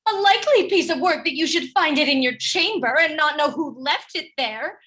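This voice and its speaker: Actress as spurned lover: high, animated, scolding, sharp-tongued and jealous, Shakespearean radio play. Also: female voice, normal speed. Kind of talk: Shakespearean radio drama acting.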